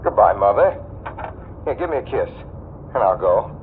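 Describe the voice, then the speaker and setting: A man's voice: fairly low pitch, big pitch swings, moderate pace. A man playing a haunted, desperate motorist in his thirties in an intense 1940s radio drama. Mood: fond, exasperated. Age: 30s. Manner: urgent, intense first-person confession. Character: haunted, desperate 36-year-old male motorist telling his story. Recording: tinny vintage radio recording, faint noise.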